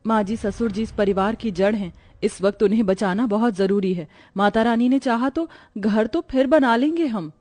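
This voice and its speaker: A woman voicing a devout, gentle young daughter-in-law, patient and steady, in an animated moral story. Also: a little high, moderate pace, few pauses, soft background music, close to an everyday voice. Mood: worried, resolute.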